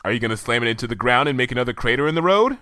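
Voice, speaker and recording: A man voicing an eager, bookish young female unicorn who talks in a brisk, earnest, slightly flustered way. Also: medium pitch, expressive, pushed and bright, dry recording, clean studio sound, voice pushed up.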